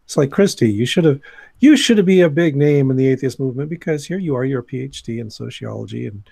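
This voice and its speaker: Measured man with a creaky voice. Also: mid-range voice, animated, warm tone, dry recording, studio quality. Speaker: podcast guest. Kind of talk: casual panel discussion.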